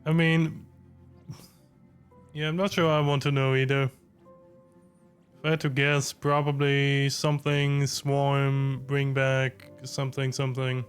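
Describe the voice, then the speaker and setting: Male game streamer: casual, hesitant, unhurried commentary with little pitch movement. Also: completely flat delivery, clean tone. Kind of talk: casual live reaction commentary. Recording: faint game music behind the voice.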